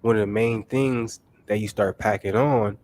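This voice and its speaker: A man's voice: fairly low pitch, fairly even intonation, normal speed, a little mellow, a little hiss or hum. A man in his late twenties hosting a casual chat, laid-back and chuckling between remarks. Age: late 20s. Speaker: host.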